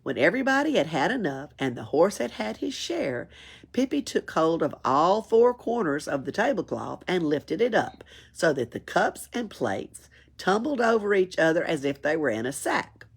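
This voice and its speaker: Animated, husky woman reading a story. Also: fairly low pitch, moderate pace. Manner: expressive, chuckles and adds asides. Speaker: library read-aloud reader. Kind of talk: children's story read-aloud.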